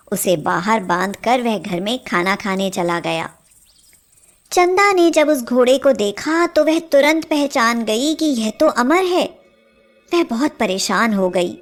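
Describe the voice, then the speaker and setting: A woman narrates a children's tale in a fairly high voice. She takes her time over every sentence, with clear music playing behind her. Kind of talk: scripted children's story narration.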